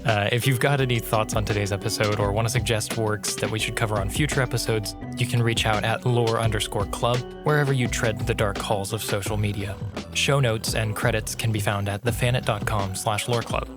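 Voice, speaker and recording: A man's voice: on the low side, fairly even intonation, brisk. A man hosting a podcast, slightly congested from allergies, addressing listeners casually in an outro. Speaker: podcast host. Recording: prominent music behind the voice. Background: music.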